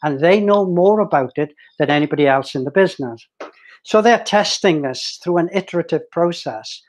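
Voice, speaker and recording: A man's voice: a little high, lively intonation, normal speed. An older man giving a conversational presentation on a video call, picked up by his webcam in a small room at home. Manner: conversational, restarts and fillers while explaining. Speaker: meetup presenter, business consultant.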